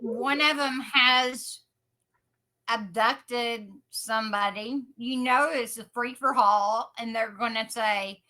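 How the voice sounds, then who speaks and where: A woman's voice: on the high side, slow pace, bright, forceful tone, low-quality recording. A woman talking casually as a co-host on a true-crime podcast.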